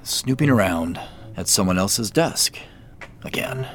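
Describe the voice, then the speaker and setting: A furtive male office worker in an audio drama. His talk is slow and halting, with an awkward, casual feel and pitch that moves around a lot. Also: expressive, faint music behind. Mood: furtive, musing. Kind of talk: naturalistic audio drama acting.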